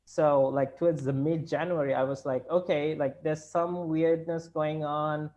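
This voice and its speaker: A man's voice: on the high side, level tone, unhurried, a little mellow. A man in his thirties with a Sri Lankan accent, a company chief talking through a video-call interview from his home, rambling with many fillers and restarts. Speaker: company CEO guest. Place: home room indoors. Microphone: laptop video call. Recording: dull lo-fi video call audio.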